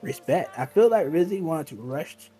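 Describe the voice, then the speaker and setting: A man's voice: fairly high pitch, lively intonation, moderate pace, dark tone. A man reminiscing in casual, slangy talk, emphatic and often repeating himself.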